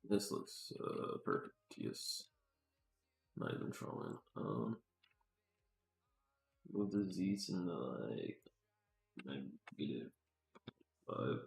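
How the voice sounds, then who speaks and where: A man's voice: a bass voice, huge pitch swings, slow, creaky, long pauses. A man thinking aloud casually on a livestream as he works, muttering to himself in slangy, sweary talk. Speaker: game developer live-streaming work. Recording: roomy echo.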